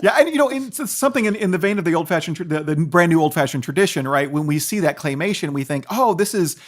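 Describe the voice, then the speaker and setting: A man's voice: a little high, expressive, fast. An American man speaking as an expert podcast guest, explaining conversationally and animatedly, often checking in with the listener. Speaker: guest expert, philosophy professor and author.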